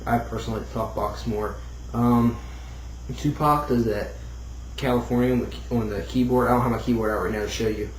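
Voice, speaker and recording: A man giving a casual, unscripted hobbyist demo, rambling and thinking out loud as he goes. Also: medium pitch, fairly even intonation, brisk. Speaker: hobbyist demonstrating a homemade build. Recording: roomy echo, clear background noise.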